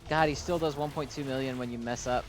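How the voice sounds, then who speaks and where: A man's voice: mid-range voice, steady tone, fast, faint music behind. A man giving casual, unscripted commentary as he plays and reviews a video game, rambling and gushing like a fan, with self-deprecating asides.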